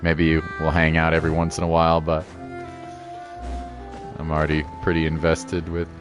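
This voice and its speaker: A man's voice: very deep voice, monotone, normal speed, faint music behind. A man chatting casually as a game streamer, with an easygoing, rambling and playful way of talking. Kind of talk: casual livestream chat.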